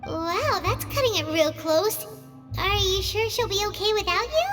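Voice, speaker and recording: A woman voicing a tiny, excitable childlike fairy companion in an anime game, with a squeaky voice. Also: moderate pace. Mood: cheerful concern. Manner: talks about herself in third person, exclaiming. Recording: long reverb, loud background music.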